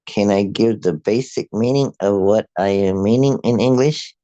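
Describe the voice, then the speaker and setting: Low slow afrikaans-accented guy reading his notes out, dark mellow voice, dry close sound. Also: no room sound, lo-fi sound. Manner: measured, halting reading with restarts. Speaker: video host. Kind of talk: reading a prepared commentary aloud.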